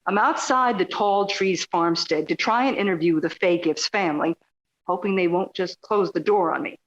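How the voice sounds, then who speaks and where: A woman's voice: on the low side, moderate pace, lo-fi sound, natural voice. A woman playing a courteous, persistent radio journalist in an audio drama, articulate and probing. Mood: nervous, businesslike. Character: polite, inquisitive, persistent female radio journalist.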